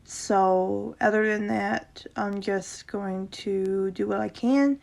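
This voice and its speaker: A woman with a sore throat and a slight rasp, casually reading a horror story aloud, often stumbling over words and correcting herself. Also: mid-range voice, steady tone, slow, a little mellow. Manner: reacts casually between passages.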